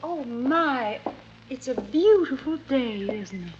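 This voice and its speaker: Sweet-mannered fluttery american housewife, old 50s radio play, muffled with some hiss. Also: female voice, high voice, lively intonation, slow. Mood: fluttery, polite. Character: genteel, fluttery, wistful older wife and grieving mother. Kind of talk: 1950s radio drama acting.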